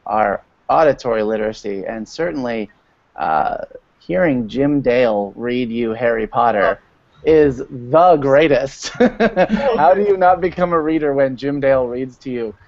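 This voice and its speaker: A man's voice: mid-range voice, unhurried, a little mellow, no echo. A man in his thirties chatting casually as a guest on a video call. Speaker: school librarian guest. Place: room at home. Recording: dull lo-fi video-call audio.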